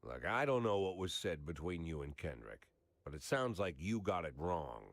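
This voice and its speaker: Deep-voiced, guarded, world-weary cop. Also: male voice, very animated, moderate pace, no echo. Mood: wary. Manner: laconic, understated. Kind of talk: video game voice acting.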